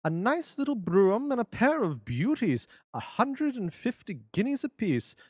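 A man reading a classic detective story, voicing a sharp-witted gentleman detective who talks crisply and with command. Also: sits high, big pitch swings, normal speed, no echo, studio quality, voice pushed up, heavily acted. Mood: brisk, assured. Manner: crisp, commanding, dryly amused. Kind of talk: audiobook character voice.

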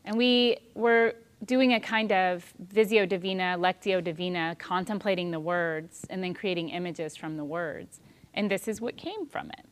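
A woman in her thirties giving a calm, thoughtful spiritual talk on prayer, with soft pauses. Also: on the low side.

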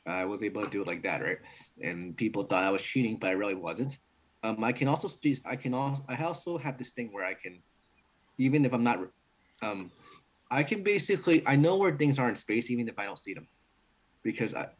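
A young man from New York City, a martial arts instructor and guest, talking in quick run-on sentences with restarts, laughs and blunt swearing. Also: on the low side, animated, slightly rough. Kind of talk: casual interview.

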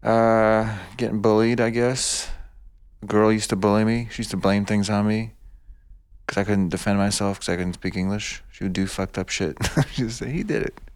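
A man from Bosnia, raised in America, talks in a low, gravelly voice. He is soft-spoken and a little hesitant, sharing memories as a guest in a relaxed chat. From born in Bosnia, raised in the United States. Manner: soft-spoken, hesitant, quick short replies. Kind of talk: casual chat.

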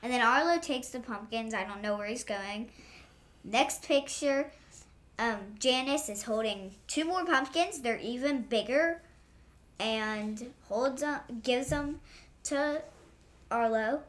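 A female podcast co-host chatting casually, describing things in a hesitant, halting way. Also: on the high side. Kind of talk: casual chat.

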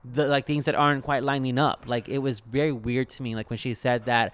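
Sassy man with a clear, smooth voice. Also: mid-range voice, flat delivery, brisk, a bit bright, no echo. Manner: sassy, profane, slangy. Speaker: podcast co-host. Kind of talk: casual recap chat about a TV show.

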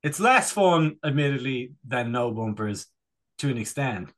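A man's voice: mid-range voice, lively intonation, a little slow, fairly bright tone. A man chatting casually as a comedy podcast co-host, rambling and digressing with a jokey manner.

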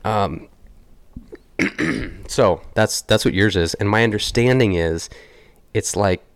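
Deep-voiced man, raspy from a cough. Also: slow pace, dry recording. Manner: laid-back, chatty, laughs easily. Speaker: podcast co-host. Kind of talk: casual podcast chat.

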